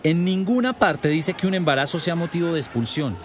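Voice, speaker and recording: An actor playing a pressured but reasonable school principal with a Colombian accent, firm and protesting, in a radio drama. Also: male voice, fairly high pitch, expressive, fairly quick, some chatter behind, slight background noise, natural voice.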